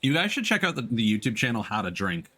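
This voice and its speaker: A man's voice: mid-range voice, very animated, quick pace, bright, forceful tone. A man chatting casually as a live streamer during gameplay, joking along as he goes.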